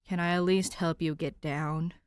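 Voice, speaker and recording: A woman's voice: low-pitched, steady tone, normal speed, clear, resonant tone, dry recording, close to an everyday voice. A woman voicing an exasperated, protective young male masked vigilante, weary and dry, in an audiobook story. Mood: resigned. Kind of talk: audiobook character dialogue.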